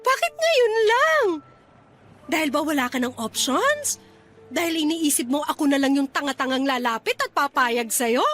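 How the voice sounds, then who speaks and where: Woman acting high-voiced, hurt, defiant young woman. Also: sing-song, normal speed, fairly bright tone, faint music behind. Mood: sarcastic, indignant. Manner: sarcastic, emotional, reproachful. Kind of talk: Tagalog radio drama acting.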